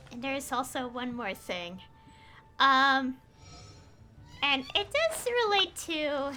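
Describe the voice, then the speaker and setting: A woman's voice: high-pitched, sharp tone, slight background noise. A woman chatting casually on a gameplay livestream, rambling and hesitant as she thinks aloud. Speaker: game streamer.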